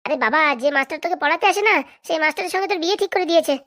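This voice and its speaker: A woman's voice: very high-pitched, rapid-fire, fairly bright tone. A woman voicing a firm, coaxing middle-aged mother in a comedy cartoon, nagging and insistent. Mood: persuading. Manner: nagging, repeatedly checking she is understood. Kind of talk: Bengali comedy cartoon dub.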